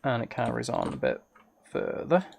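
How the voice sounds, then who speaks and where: A man's voice: medium pitch, huge pitch swings, moderate pace, gravelly, darkish tone, a little hiss or hum. A man streaming a video game, chatting casually and thinking aloud in hesitant fragments. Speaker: live streamer. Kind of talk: casual livestream chat while playing a video game.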